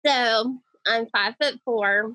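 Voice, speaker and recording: A woman speaks in a high, girly voice, telling her story with chatty enthusiasm. She is a guest in a casual podcast interview. The sound is dry and close. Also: slow pace, sharp tone, no room sound.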